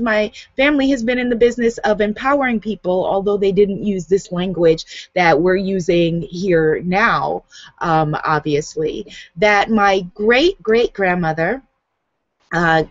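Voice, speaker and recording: A woman's voice: mid-range voice, dry recording. A woman author, born in the US, with a light Guyanese accent, talking casually to camera on a video hangout and laughing often. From United States. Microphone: webcam on a video hangout. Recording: dull, dry home recording.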